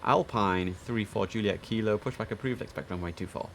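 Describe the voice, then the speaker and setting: A man with a fairly low voice streams as an air traffic controller. He switches between formal clipped calls and casual talk to himself, with noticeable noise behind him. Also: a little fast. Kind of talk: livestream game commentary with clipped radio instructions.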